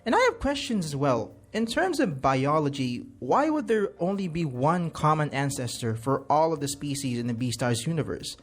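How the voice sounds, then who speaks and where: A man speaking as a guest commentator, giving his opinions with emphatic stress on key words. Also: fairly high pitch.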